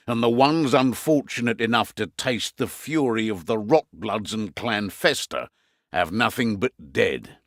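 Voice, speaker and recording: An actor playing a gruff, weary middle-aged British innkeeper turned spymaster, briefing in a wry, conversational way for a video game. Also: male voice, lowish voice, a bit bright, dry recording, exaggerated voice. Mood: weary resolve. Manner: wry, conversational briefing with dry asides and trailing afterthoughts.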